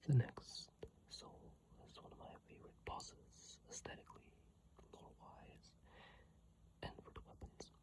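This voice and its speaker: An ASMR creator reading video game lore in a breathy whisper, unhurried and informal with asides, recorded close on an iPhone mic. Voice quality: breathy whisper, mostly unvoiced.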